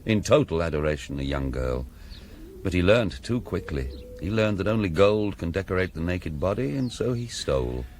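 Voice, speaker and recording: Deep-voiced, eloquent priest. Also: male voice, animated, normal speed, darkish tone, slight background noise. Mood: grave, sorrowful. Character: proud, grave, compassionate male priest. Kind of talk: radio drama acting.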